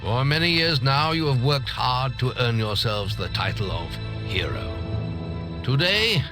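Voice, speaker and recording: A man's voice: mid-range voice, sing-song, a little slow. An actor with a British accent playing a grave, fatherly older guildmaster in a fantasy video game. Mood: solemn, fatherly. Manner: solemn, ceremonial. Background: music.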